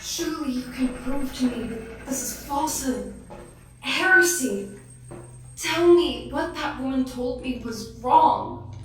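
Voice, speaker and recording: A woman playing a proud, distraught noblewoman in a fantasy audio drama, halting and melodramatic. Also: sits high, expressive. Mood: desperate pleading. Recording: echoey, distant, faint music behind.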